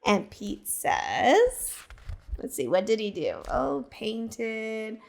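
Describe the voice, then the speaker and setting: Slow, lively woman reading a picture book. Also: a little high, gravelly. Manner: slow, expressive storytelling that asks the listener questions. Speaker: teacher librarian.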